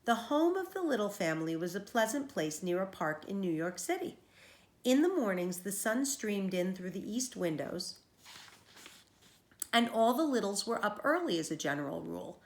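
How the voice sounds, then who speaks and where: A woman's voice: mid-range voice, big pitch swings, normal speed, echoey room. A woman teacher reading a children's storybook aloud, explaining things to young listeners as she goes.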